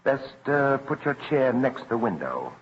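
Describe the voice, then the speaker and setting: An actor playing a stubborn, courteous colonial militia captain in an old radio drama, measured and firm. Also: male voice, mid-range voice, sing-song. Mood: conspiratorial, commanding. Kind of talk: 1940s radio acting. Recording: muffled, noisy old radio recording.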